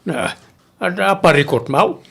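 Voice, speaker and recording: Caring older dad in an Ilocano audio drama played by a man, creaky voice, gently concerned. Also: on the high side, animated, measured pace, exaggerated voice. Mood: fatherly, attentive. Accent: Filipino, Ilocano. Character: caring older father of a grown daughter. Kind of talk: radio-style drama acting.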